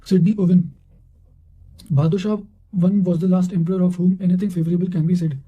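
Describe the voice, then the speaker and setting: A man reading study quotations aloud in a halting way, often stumbling and repeating words. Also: high voice, flat delivery, dark tone, dull audio. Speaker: narrator reading revision notes.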